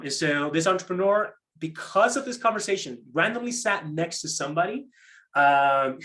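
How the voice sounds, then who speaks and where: A man with a slightly high voice leads an interactive workshop as its presenter. He talks conversationally and haltingly, doubling back on words as he explains, and often checks in with small tag questions. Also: fairly bright tone. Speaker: program manager presenting a workshop. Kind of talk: interactive online workshop talk on pitching.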